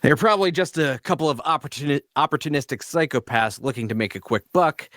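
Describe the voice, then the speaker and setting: A man's voice: on the high side, quick pace, fairly bright tone, dry recording, studio quality, lightly acted. A man playing a shrewd, blunt adventurer for hire in a fantasy audio drama, probing and matter-of-fact. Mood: blunt, scheming. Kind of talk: audio drama table-read dialogue.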